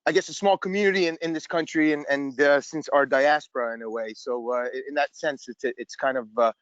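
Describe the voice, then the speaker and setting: A man's voice: mid-range voice, fairly even intonation, brisk, clean tone, no echo. An earnest audience member, a man, asks a question with effusive thanks and stumbles over repeated words. His voice sits mid-range, and the call audio is dull and dry. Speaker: caller asking a question. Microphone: video call.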